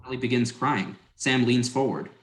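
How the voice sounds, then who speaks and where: A man's voice: medium pitch, moderate pace. A man narrating stage directions in a scripted dramatic reading. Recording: roomy, echoing sound.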